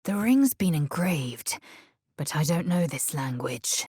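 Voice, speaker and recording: A woman voicing a fierce, proud alien warrior in a video game, speaking with a husky rasp in a haughty, clipped, commanding and formal manner. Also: deep voice, big pitch swings, slow pace, a little mellow, no echo, clean studio sound, full character voice. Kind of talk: video game character voice acting.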